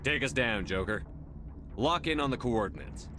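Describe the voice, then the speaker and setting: An actor playing a driven, defiant starship commander in a video game, firm and commanding. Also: male voice, mid-range voice, animated, normal speed, noisy background, close to an everyday voice. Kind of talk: dramatic video game acting.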